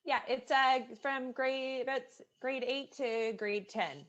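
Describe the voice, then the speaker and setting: A woman with a somewhat high voice hosts an online event over a video call. She keeps the welcome cheerful and energetic. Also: a little slow, dull audio. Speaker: youth services presenter and event moderator. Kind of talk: upbeat event hosting.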